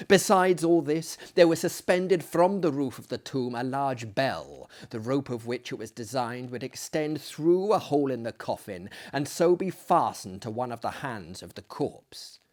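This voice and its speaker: A man giving a theatrical, hammy dramatic reading of a gothic horror story, deliberate and swinging between hushed and loud delivery. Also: mid-range voice. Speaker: dramatic reader, performer.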